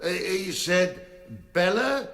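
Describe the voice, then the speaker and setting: An actor with an English accent playing an old, plain-spoken country uncle giving halting evidence in a radio drama. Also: male voice, sits high, a bit bright, exaggerated voice. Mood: earnest, flustered. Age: older.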